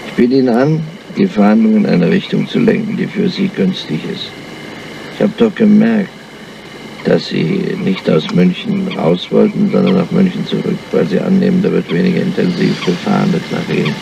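An actor playing a crafty, weary, grumpy police inspector in a radio crime drama, speaking in a dry, measured, deliberate way. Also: male voice, sits low, vocal fry. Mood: firm, bargaining. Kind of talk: 1970s German crime radio drama acting. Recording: noisy, dull old radio drama recording.

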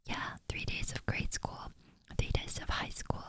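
A woman's voice: unhurried, natural voice. A woman whispering the part of a friendly young male bee, a close friend, in an ASMR reading. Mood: teasing.

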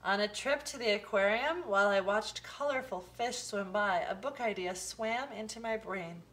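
A woman reading a children's picture book aloud, with expressive, deliberate phrasing. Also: medium pitch. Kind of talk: storybook read-aloud for children.

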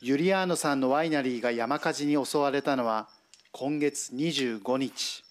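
A man's voice: medium pitch, moderate pace. A man reading scripted narration for a television news report as its reporter. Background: background music.

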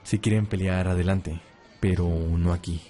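A man playing a gruff, curt vampire protector in an audio roleplay. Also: low voice, fairly even intonation, moderate pace, warm tone, natural voice. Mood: reluctant, defiant. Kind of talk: ASMR audio roleplay acting.

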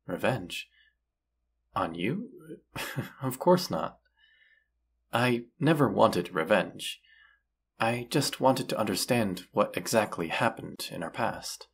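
A man playing a gentle, forgiving vampire hunter and lover in an intimate audio roleplay, speaking tenderly with hesitant pauses. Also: on the low side, expressive, creaky, slightly rough, exaggerated voice. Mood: earnest, reassuring.

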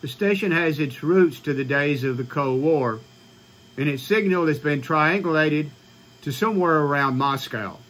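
A man in his sixties with an American accent, explaining informally to camera in a halting, matter-of-fact way. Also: on the high side, fairly bright tone. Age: 60s. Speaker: ham radio vlogger. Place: small home radio room, indoors.

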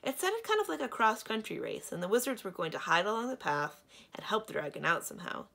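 A woman's voice: medium pitch, wildly swooping pitch, quick pace, creaky, fairly bright tone, voice pushed up, heavily acted. A woman doing a polite, apologetic stone prince. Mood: courteous. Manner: courteous, apologetic.

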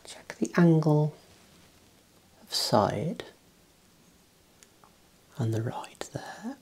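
A man in his thirties doing an ASMR roleplay as an optician, whispering softly with calm clinical instructions into a close clip-on mic. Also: on the high side, a little hiss or hum. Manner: hushed, unhurried, reassuring clinical instructions. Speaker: ASMR performer role-playing an optician. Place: small indoor room. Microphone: clip-on wireless mic on lapel, close.

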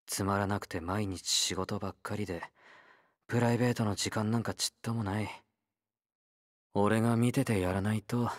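A man's voice: lowish voice, dry recording, clean studio sound, lightly acted. A male actor playing a laid-back young convict fighter in a game, blunt, teasing and nonchalant, with a drawl. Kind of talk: Japanese game character voice lines.

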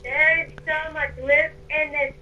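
A woman with a high-pitched voice chats casually as she plays a video game, slipping into playful roleplay. Music is clearly audible behind her. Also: flat delivery, a little slow, sharp tone, muffled recording.

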